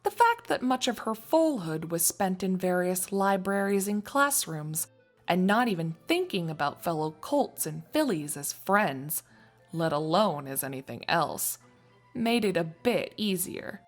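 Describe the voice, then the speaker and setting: A woman reading scripted story narration in an expressive, wry storytelling manner. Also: lowish voice, unhurried, faint music behind. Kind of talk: scripted fan fiction narration.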